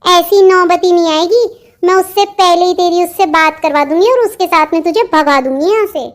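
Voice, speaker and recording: An extremely high voice from a woman plays a young sister-in-law and confidante. She soothes and coaxes with a scheming streak, in the heightened style of a cartoon family drama. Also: moderate pace. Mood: conspiratorial. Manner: coaxing, placating. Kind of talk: melodramatic Hindi cartoon dialogue.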